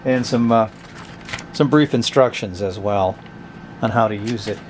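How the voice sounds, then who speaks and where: A man hosting a casual, unscripted product review, talking in a hesitant, halting, conversational way. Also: lowish voice, a little hiss or hum. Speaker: host of a vintage radio review channel.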